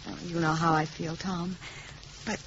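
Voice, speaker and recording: An actress playing a gracious, affectionate young mother with a gentle, polite manner in a 1950s radio drama. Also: fairly low pitch, little pitch movement, a little slow. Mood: tender, teasing. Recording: dull, hissy 1950s radio recording.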